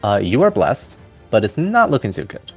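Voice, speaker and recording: Low, gravelly male game master. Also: wildly swooping pitch, moderate pace. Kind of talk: narrating a tabletop fantasy game. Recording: music playing behind. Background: background music.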